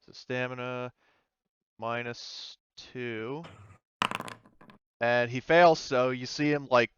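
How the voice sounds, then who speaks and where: A man's voice: mid-range voice, steady tone, slow, fairly bright tone, dull audio, slight background noise. A man acting as game master of a tabletop roleplaying game, casually thinking aloud as he talks through rules and turns.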